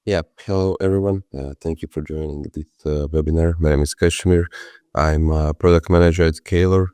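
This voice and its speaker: Very deep-voiced man from Croatia. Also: steady tone, a little fast, warm tone, clear, resonant tone, no room sound. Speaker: product manager. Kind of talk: casual presentation with fillers.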